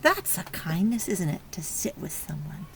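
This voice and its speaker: A woman teacher reading a picture book aloud to young children, stopping to ask questions and encourage them in an expressive way. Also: fairly low pitch, moderate pace. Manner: encouraging, praising child listeners; expressive, exclaiming and prompting. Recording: clear background noise.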